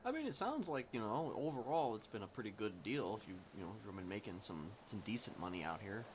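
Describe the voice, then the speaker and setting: A man's voice: fairly low pitch, sing-song, fast. A man playing an easygoing, agreeable male character in improvised game roleplay, chatting and explaining casually over faint background noise. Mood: amused, agreeable.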